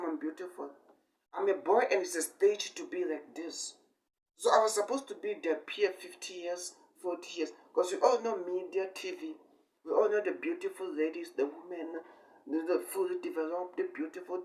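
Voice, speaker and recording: The recording is distant, with the microphone set away from the speaker. He is a young man with a fairly high voice for a male. He talks in an improvised, rambling way, halting between short phrases, repeating himself and leaning hard on certain words. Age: 20s. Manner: halting short phrases, repetitive, emphatic bursts. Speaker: amateur online speaker.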